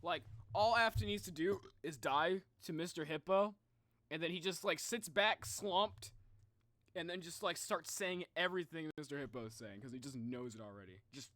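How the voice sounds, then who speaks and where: A man's voice: a little high, moderate pace, bright, forceful tone, no echo. A young adult man chatting casually as he reacts to game videos on a livestream.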